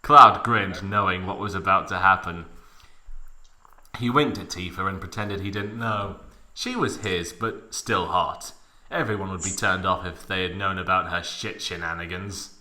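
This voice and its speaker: A man's voice: sits low, measured pace, bright, forceful tone. A man giving a mock dramatic reading of fan fiction, with theatrical, exaggerated emphasis.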